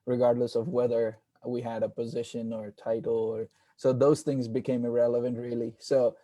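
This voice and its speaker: A man from India speaks as a pastor on a video call, earnest and reflective with many soft fillers. His voice is mellow and dark, with little rise and fall in pitch. The sound is dry, with no room echo. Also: little pitch movement, normal speed. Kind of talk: panel introduction on a video call.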